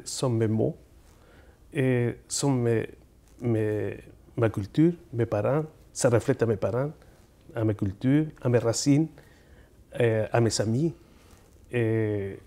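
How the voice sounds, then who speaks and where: A middle-aged man with a Chilean Spanish accent talks in a mellow, dark voice as a guest on a television set. His answers come out earnest and halting, with words repeated while he looks for the next one. His pitch sits at an ordinary middle level. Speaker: guest poet and speaker. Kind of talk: studio interview answers. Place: TV studio.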